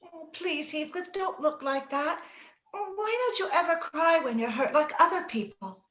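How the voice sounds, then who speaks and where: A woman's voice: very high-pitched, lively intonation, measured pace, fairly bright tone, heavily acted. A woman playing a willful, romantic young girl in an old-time radio drama, earnest and coaxing. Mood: soothing, coaxing. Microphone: remote video call. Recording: roomy, muffled home video-call recording.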